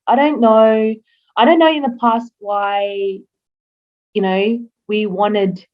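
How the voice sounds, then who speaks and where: Chatty Australian woman, slightly muffled. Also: medium pitch. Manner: chatty, rambling, many fillers and restarts. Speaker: interview guest. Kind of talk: casual interview chat. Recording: slightly dull lo-fi sound.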